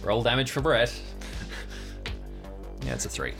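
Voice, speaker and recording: A man's voice: mid-range voice, very animated, a little slow. A man acting as game master, narrating an improvised tabletop adventure with vivid description. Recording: music behind the voice.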